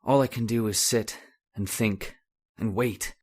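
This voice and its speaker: A man's voice: fairly low pitch, steady tone, unhurried, breathy edge. A man playing a haunted, domineering chemist in radio horror, with broken, repetitive, brooding speech. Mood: haunted, despairing. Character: haunted, obsessive male chemist, domineering and bitter. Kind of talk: old-time radio horror acting.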